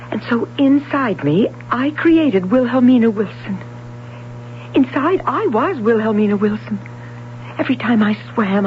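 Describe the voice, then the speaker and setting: A woman playing a troubled, insecure young swimmer in an old radio drama, speaking in an earnest, emotive way. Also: on the high side. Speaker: actress. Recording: dull, hissy, humming old radio recording.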